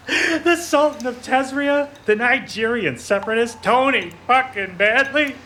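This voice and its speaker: An actor playing a detective's sidekick who turns cold and menacing, in a radio play. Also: male voice, very high-pitched, slow pace, background noise. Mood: scornful. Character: Dawson, male detective's sidekick turned sinister.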